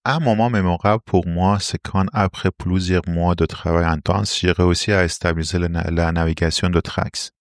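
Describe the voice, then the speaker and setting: Low-voiced Iranian-accented man, formal and explanatory. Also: fairly even intonation, normal speed, hardly any pauses, no echo, clean studio sound. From Iran. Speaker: interview guest, robotics R&D engineer. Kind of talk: prepared interview answers.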